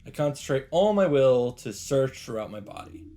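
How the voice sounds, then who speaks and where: A man's voice: mid-range voice, very animated, normal speed, mellow, slight background noise. A man reading a visual novel aloud with dramatic delivery as a let's player, with faint game ambience behind him.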